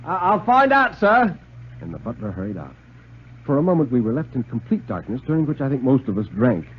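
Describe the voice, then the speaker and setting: A man narrating a 1950s radio thriller in a theatrical, deliberate, suspenseful manner. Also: medium pitch, very animated, breathy edge. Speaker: dramatic reader and narrator. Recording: muffled 1950s radio recording.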